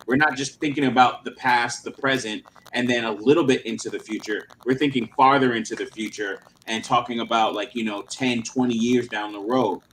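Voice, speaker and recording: A man's voice: medium pitch, slight background noise, low-quality recording. A man in his thirties hosting a casual livestream chat, talking in a rambling way with lots of fillers and slang. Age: 30s. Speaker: channel host.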